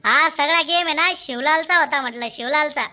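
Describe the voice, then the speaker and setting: A man's voice: very high voice, a little fast, bright, forceful tone, heavily acted. A man voicing a cocky, wisecracking member of a cartoon vigilante gang, teasing and swaggering. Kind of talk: Marathi comedy cartoon dialogue.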